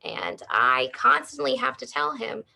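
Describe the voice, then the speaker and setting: Gravelly-voiced woman audiologist in her thirties. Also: on the high side, wildly swooping pitch, a little slow, pushed and bright, poor audio. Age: 30s. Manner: explains plainly, with everyday examples. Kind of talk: educational health talk.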